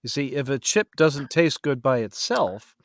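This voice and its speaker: Wry man with very lively intonation. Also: medium pitch, measured pace, no room sound, studio quality. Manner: mock-pedantic, wry. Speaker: podcast co-host, voice actor. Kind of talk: casual chat, bantering. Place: home-made vocal booth with blankets.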